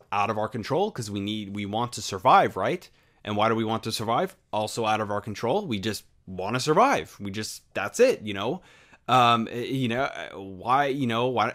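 Young man, from the US, lively pitch, rambling, filler-heavy, rhetorical questions, casual swearing. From United States. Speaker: podcast host. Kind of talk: unscripted solo monologue.

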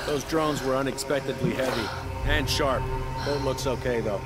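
An actor plays a businesslike man who works on boats in an adventure game. His voice is mid-pitched. Loud music runs behind the dialogue. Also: normal speed, lightly acted.

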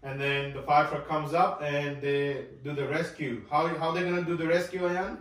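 A man's voice: a little high, fairly even intonation, normal speed, fairly bright tone. A man chatting casually as he plays along with a child, asking simple prompting questions, recorded across a living room. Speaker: parent hosting a family toy video. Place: large living room with hard wooden floor. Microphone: camera several metres away. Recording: echoey room, distant mic, background noise.

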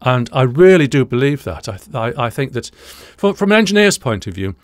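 A man's voice: mid-range voice, big pitch swings, a little fast, no room sound. Dry-sounding older British man explaining audio. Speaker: audio engineering presenter. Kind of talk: explanatory reaction commentary to camera. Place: small indoor studio room, dry.

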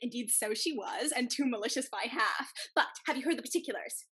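A young actress playing an excitable, gushing society gossip in a period stage comedy, emphatic and insistent. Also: female voice, fairly high pitch, fairly quick, husky. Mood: excited, insistent. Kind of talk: theatrical period comedy acting. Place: room at home on a video call.

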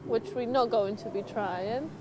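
A woman's voice: on the high side, a little slow, music in the background, rough recording. A woman in her thirties chatting casually on a town walk, trading easygoing, teasing banter with her travel partner. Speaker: motovlogger. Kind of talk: casual travel vlog chat. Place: outdoors in town streets.

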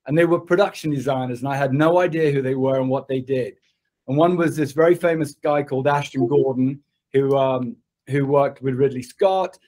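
A man's voice: a little high, darkish tone. A British man in his sixties, raised in Zimbabwe, talking conversationally as an interview guest on a video call from an office. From born in England, grew up in Zimbabwe. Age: 60s. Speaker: interview guest, production designer. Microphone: computer mic at desk distance on a video call.

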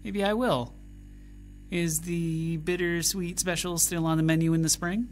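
Audio drama traveller played by a man: easygoing musician, friendly and natural, soft music underneath. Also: fairly high pitch, steady tone, a little slow, natural voice. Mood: easygoing, flirty. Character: easygoing travelling male musician who tracks birds. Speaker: actor.

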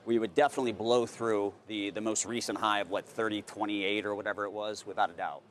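A man's voice: lowish voice, steady tone, brisk, a little hiss or hum. A man in his thirties answering as a wealth manager on a financial news set, casual and confident. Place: TV studio on a trading floor.

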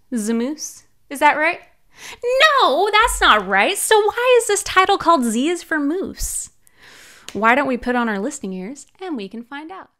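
A woman's voice: high voice, fairly bright tone. A young woman librarian leading a children's storytime, talking cheerfully in a sing-song way to young listeners from a small room at home. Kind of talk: children's storytime with songs and read-aloud. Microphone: large studio mic on a boom arm. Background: ukulele.

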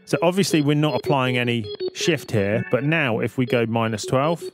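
A man's voice: mid-range voice, normal speed. A man giving an explanatory music production tutorial, thinking aloud as he talks through the steps. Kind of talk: screen-recorded software tutorial narration.

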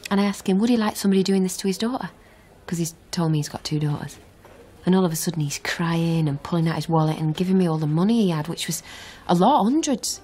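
An actress playing a guarded, defiant young con artist in a naturalistic radio drama. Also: female voice, on the low side, fast, mellow, slightly rough. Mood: confessional. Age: young adult.

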